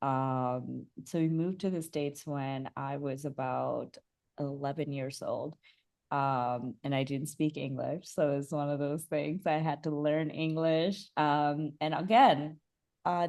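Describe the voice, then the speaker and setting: A young woman from Bangladesh, a holistic coach, talking about her life in a soft, reflective way with many fillers and restarts. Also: low voice. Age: young adult. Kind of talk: casual interview chat.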